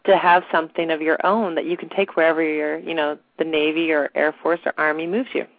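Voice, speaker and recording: A woman co-hosting a casual unscripted talk show, chatty, her voice raspy from allergies and a slight cold. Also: sits low, steady tone, a little fast, no echo. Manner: chatty, informal. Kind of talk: unscripted casual radio chat. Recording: tinny phone-line internet radio audio.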